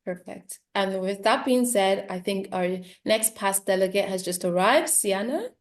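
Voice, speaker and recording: A woman moderating an online session, reading out audience questions in a brisk, upbeat manner. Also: medium pitch.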